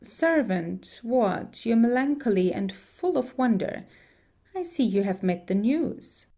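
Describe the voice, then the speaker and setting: A woman playing a wry, mischievous gentlewoman in an old comedy, with a creaky voice and teasing manner. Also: fairly high pitch, animated, slow, dull audio, exaggerated voice. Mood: mock innocent. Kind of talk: Restoration comedy audio play acting.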